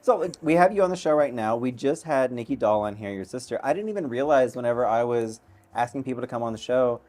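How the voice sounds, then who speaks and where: A man hosting a casual talk show, teasing his guest with deadpan banter. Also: medium pitch, fast talker. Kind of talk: casual interview chat.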